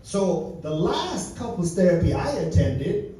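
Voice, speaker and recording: A man from Turkey doing stand-up with a fairly high voice. His delivery is dry and wry. He sounds far from the mic in a very echoey lounge. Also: sing-song, unhurried, warm tone. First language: Turkish. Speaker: stand-up comedian. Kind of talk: stand-up set. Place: comedy lounge stage.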